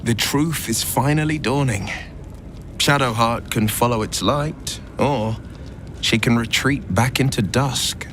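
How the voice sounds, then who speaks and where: An actor playing a thoughtful male adventurer in a video game, speaking in measured, poetic phrases. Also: medium pitch, noisy background, lightly acted. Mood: pensive. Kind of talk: dramatic video game acting.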